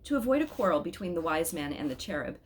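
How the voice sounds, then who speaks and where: A woman reading a storybook aloud as an expressive narrator. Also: deep voice, fast talker, a little hiss or hum. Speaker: storybook reader.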